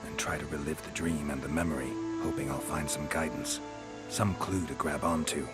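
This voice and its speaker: Very deep, brooding monster hunter. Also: male voice, fairly even intonation, normal speed. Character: brooding, cynical amnesiac male monster hunter. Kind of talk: video game voice acting. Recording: loud music under the voice.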